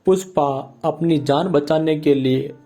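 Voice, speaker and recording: A man's voice: a little high, takes it slowly, mellow. A man narrating a scripted moral story in simple language, with plucked guitar music behind him. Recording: music bed under the voice.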